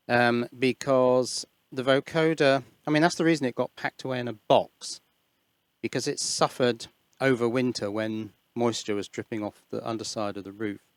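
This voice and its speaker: Gravelly man demonstrating electronics, close and dry. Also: mid-range voice, no echo. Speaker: host of an electronics hobby channel. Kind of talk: informal hands-on demonstration narration. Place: indoors at an electronics workbench. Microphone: headset microphone.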